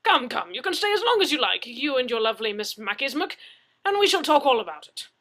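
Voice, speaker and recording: A woman plays a hearty English gentleman from a storybook, loud and forceful. He blusters and bumbles, easily flustered and excitable. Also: high voice, fast, pitched above the performer's own voice, full character voice. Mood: blustering, hearty.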